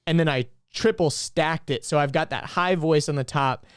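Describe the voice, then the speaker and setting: A man's voice: a little high, normal speed, clear, resonant tone, dry recording, clean studio sound. A young man co-hosting a podcast, chatting casually and rambling with lots of fillers. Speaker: podcast co-host. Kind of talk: casual chat.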